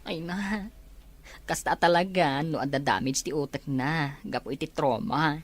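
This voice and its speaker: A woman's voice: low-pitched, a little slow. An actress playing an earnest, patient woman in a radio-style family drama. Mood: explaining.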